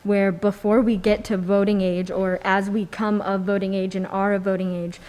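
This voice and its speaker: Young woman, medium pitch, thoughtful. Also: level tone, moderate pace, a little mellow. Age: young adult. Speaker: podcast panelist. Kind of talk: thoughtful discussion.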